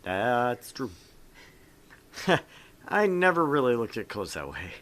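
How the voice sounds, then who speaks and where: A man's voice: medium pitch, sing-song, slow, fairly bright tone. A man hosting a casual visual novel playthrough, reading text aloud and joking around in a goofy way. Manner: goofy, jokey, switches between reading and banter. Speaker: gaming show host and reader.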